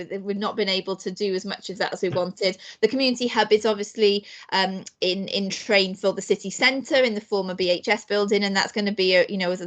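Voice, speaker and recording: A woman reports to a council panel over a video call. She restarts sentences often and pads them with fillers. Her pitch sits in the middle range. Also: fairly bright tone. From Wales. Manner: hesitant, many restarts and fillers. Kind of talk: online council meeting update.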